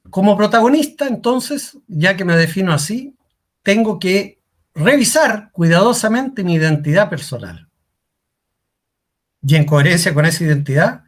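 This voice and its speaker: Guy running a workshop, kinda husky, voice goes up and down a lot, keeps tossing out questions. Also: high voice. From Chile. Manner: rhetorical questions, repeats key lines for emphasis. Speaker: workshop speaker and coach. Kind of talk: workshop talk on career identity.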